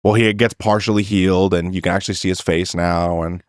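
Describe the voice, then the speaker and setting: A man's voice: sits low, steady tone, fairly quick, no room sound, clean studio sound. A man hosting a podcast, chatting casually and loosely as he recaps, with some swearing. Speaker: podcast host. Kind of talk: casual film chat, sweary.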